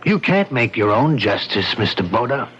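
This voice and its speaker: An actor playing an earnest, sympathetic police sergeant in an old radio drama, plain-spoken and a little hesitant. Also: male voice, mid-range voice, sing-song, moderate pace, fairly bright tone. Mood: earnest, firm. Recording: dull, noisy old radio broadcast.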